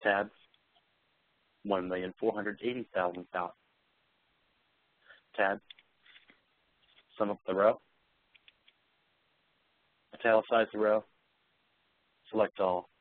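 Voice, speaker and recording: A man with a fairly low voice leads a live software demo. He explains features and issues voice commands. The sound is dry, with very little room around it. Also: level tone, slow, pauses often, dry recording, phone-quality sound. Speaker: software demonstrator.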